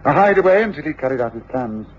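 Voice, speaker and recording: An English actor playing a commanding, coolly reasoning detective with clipped, authoritative delivery in an old British radio drama. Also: male voice, medium pitch, very animated, fast talker, natural voice. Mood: cool, resolute. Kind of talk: 1950s-60s British radio drama acting. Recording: echoey, dull, noisy old radio recording.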